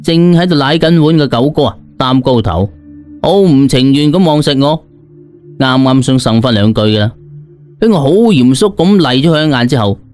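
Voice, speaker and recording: A man's voice: medium pitch, a little mellow. Cantonese guy reading a story audiobook style, dramatic delivery, bit of music underneath. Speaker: audiobook narrator. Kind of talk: scripted dramatic story narration. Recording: music behind the voice. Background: background music.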